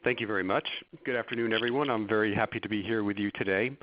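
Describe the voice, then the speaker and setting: Stuffy-voiced man with a very low pitch. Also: fairly even intonation, fast talker, a little hiss or hum. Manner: hesitant, many restarts and fillers. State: stuffy from a cold. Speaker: webinar presenter. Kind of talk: presentation talk with fillers. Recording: dull lo-fi webinar audio.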